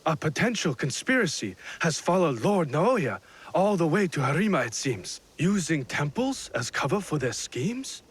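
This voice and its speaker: An evasive lord stumbles over his words with husky breath. His voice sits high and swings widely in pitch. He sounds caught out yet indignant. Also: big pitch swings, normal speed, exaggerated voice. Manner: hesitant, stammering with "uh". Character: flustered evasive middle-aged male lord. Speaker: feudal lord. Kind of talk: video game cutscene acting.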